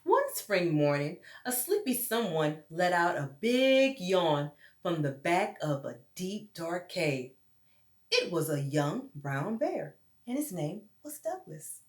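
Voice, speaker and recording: A woman's voice: on the low side, big pitch swings, takes it slowly. Storytime lady reading to kids, takes her time, lots of up and down, bit roomy. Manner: addresses listeners as friends, dramatic emphasis. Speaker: children's storytime reader. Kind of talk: expressive read-aloud storytelling for young children. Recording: roomy echo.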